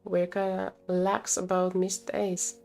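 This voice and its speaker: A woman's voice: mid-range voice, flat delivery, slow, creaky, a little mellow, soft background music. A woman voicing a caring, reassuring cafe coworker in a visual novel, with a concerned, coaxing manner. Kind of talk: visual novel dialogue read aloud.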